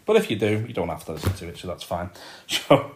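A man's voice: low voice, sing-song, fast talker, a little hiss or hum. A man with a Liverpool accent hosting his own podcast, chatting casually and rambling with frequent ums and repeated words. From Liverpool, England. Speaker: podcast host. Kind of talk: casual solo podcast talk.

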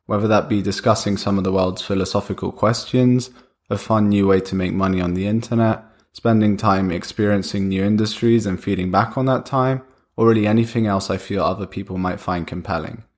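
A young man with a cold hosts a solo podcast in a lowish voice. He talks loosely and conversationally, thinking aloud with small restarts and fillers as he goes. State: has a cold. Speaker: podcast host. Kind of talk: casual solo podcast monologue.

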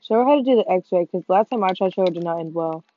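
A teenage girl co-hosting a casual gaming podcast with friends, talking fast, swearing and running herself down, with excited outbursts. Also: on the low side. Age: teens. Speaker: podcast co-host. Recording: muffled dull sound, faint music behind.

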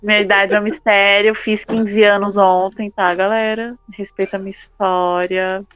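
A woman's voice: medium pitch, little pitch movement, moderate pace, a bit bright, a little hiss or hum. A woman, a podcast guest who jokes and teases in casual chat. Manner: playful, teasing.

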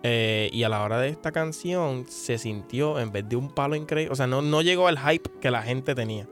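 A man's voice: medium pitch, normal speed. A man from Puerto Rico with a Puerto Rican accent, co-hosting a casual music chat and often stumbling and restarting his sentences. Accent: Puerto Rican Spanish. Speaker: podcast co-host.

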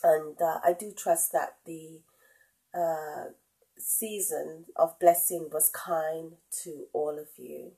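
A middle-aged woman hosting her own podcast, talking casually with a head cold and many pauses and ums. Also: sits low, steady tone, warm tone, rough recording. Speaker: podcast host. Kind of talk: casual solo podcast talk.

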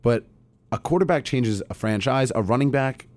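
A man's voice: mid-range voice, normal speed, a little mellow. A man in a casual sports debate who argues his point and pushes back. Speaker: sports podcast co-host.

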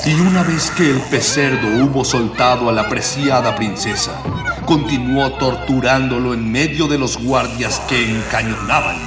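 A man's voice: medium pitch, unhurried. A man narrating a radio serial in a florid, pompous, declamatory mock-epic style. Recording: long echoing reverb, music behind.

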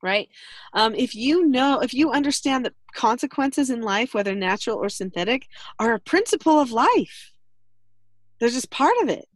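A woman with a slightly high voice talks through life skills in a relaxed, instructive way. Her tone is earnest and patient, and she laughs easily between points. The sound is close and dry, with no room around it. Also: normal speed, dry recording. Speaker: podcast host, parenting teacher. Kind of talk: casual advice chat.

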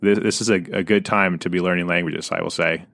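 A man's voice: low voice, steady tone, quick pace, gravelly, fairly bright tone, dry recording, studio quality. A man hosting a podcast interview, engaged with his guest and adding his own stories. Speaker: podcast host. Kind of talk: conversational interview.

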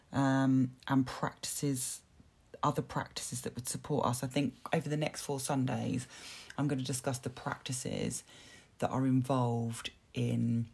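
Hoarse low-voiced woman. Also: warm tone. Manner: earnest, repeats words, many fillers. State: hoarse, dry voice. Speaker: podcast host. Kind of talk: motivational self-help talk.

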